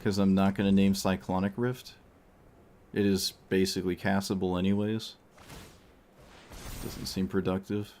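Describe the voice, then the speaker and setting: A man's voice: low-pitched, level tone, normal speed, pauses often, darkish tone, a little hiss or hum. Man muttering through a card game. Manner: muttering, thinking aloud. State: losing his voice. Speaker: streamer. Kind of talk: casual gameplay commentary.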